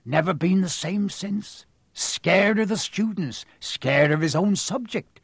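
A man's voice: sits high, slow pace, breathy edge, dry recording, clean studio sound, voice pushed up, exaggerated voice. A man voicing a huge, kindly giant of a man who talks in homely, ungrammatical speech. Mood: chatty.

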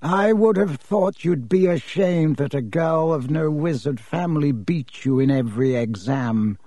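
A man voicing a cold, haughty aristocratic wizard father who speaks slowly and disdainfully. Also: fairly high pitch, lively intonation, a little mellow, dry recording, clean studio sound. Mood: scornful. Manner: slow, clipped and disdainful.

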